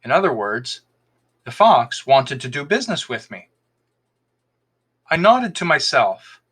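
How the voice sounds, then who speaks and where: A man reading a folktale aloud as an expressive podcast storyteller, in scripted narration. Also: mid-range voice, measured pace, husky.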